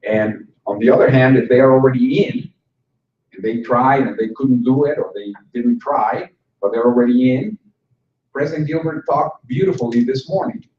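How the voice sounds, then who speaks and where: A man giving a conversational conference presentation to instructors, joking with the audience now and then. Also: medium pitch. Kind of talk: conference talk. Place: conference room. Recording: roomy hall sound from a distant mic, dull and slightly noisy.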